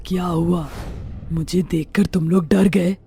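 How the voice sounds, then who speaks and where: A woman's voice: on the low side, steady tone, unhurried, dark tone, faint music behind. A woman voicing a vengeful, sorrowful witch ghost in a horror story, with a dramatic, threatening delivery. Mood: menacing. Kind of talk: Hindi horror story voice acting.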